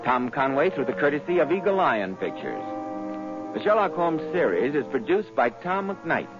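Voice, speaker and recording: A man announcing a 1940s radio program in a smooth, booming announcer delivery. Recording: dull old radio recording.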